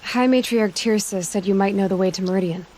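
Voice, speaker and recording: A woman playing a determined young huntress in a video game, talking in a direct, dry, quick-witted way. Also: medium pitch, mellow, a little hiss or hum, natural voice, rough recording. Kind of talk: video game cutscene acting.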